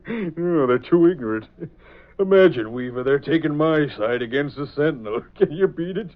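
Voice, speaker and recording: An actor playing a greedy, scheming landlord, blustering and self-satisfied, in 1930s radio style. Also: male voice, a little high, lively intonation, moderate pace, lightly acted. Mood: smug. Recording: dull, band-limited old radio recording.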